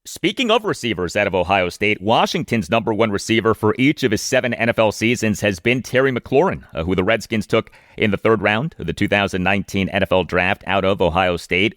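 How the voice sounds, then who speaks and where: A man with an American accent and a lowish voice hosts a sports podcast in a dry, close studio sound. He lays out facts and figures deliberately, like an announcer, before putting his question. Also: big pitch swings, a bit bright, no room sound. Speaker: sports podcast host, interviewer. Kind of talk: prepared sports interview questions and stat recaps.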